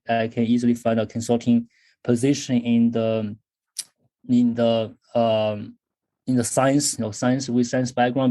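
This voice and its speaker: A man in his thirties, originally from China, talks through his career as a podcast guest. His voice is mellow and relaxed, sitting at an ordinary pitch. He pauses often, filling gaps with ums and starting sentences over. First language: Chinese. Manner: thoughtful, frequent fillers and restarts. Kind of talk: casual interview talk.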